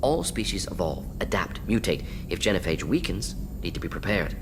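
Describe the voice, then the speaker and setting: An actor playing a brisk, brainy older alien professor in a video game, thinking aloud in clipped, analytical fragments. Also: male voice, deep voice, very animated, noisy background. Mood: analytical, intent. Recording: music bed behind dialogue.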